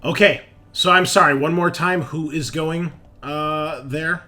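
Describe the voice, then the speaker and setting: A man narrating casually as game master of a tabletop game, haltingly, as if thinking aloud. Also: fairly high pitch, steady tone, sharp tone, faint music behind.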